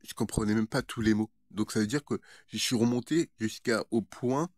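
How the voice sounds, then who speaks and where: Low-voiced man, halting casual monologue. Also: a little mellow, no room sound, studio quality. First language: French. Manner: hesitant, halting, with frequent stammered word repetitions. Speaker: vlogger. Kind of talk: casual rambling monologue to viewers.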